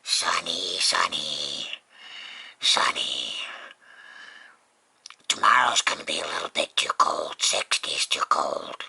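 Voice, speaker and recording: Very husky, gravelly low-voiced vlogger, sex unclear. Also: lively intonation, pushed and bright. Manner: folksy, unhurried, plainspoken. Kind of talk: casual rambling chat to camera.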